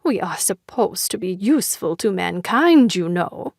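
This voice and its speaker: An earnest storybook flatiron , voiced by a woman, pleads for peace. She speaks slowly and with measured care, in a mid-pitched, very dry voice. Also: gravelly, no echo. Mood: earnest pleading. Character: earnest, conciliatory living flatiron.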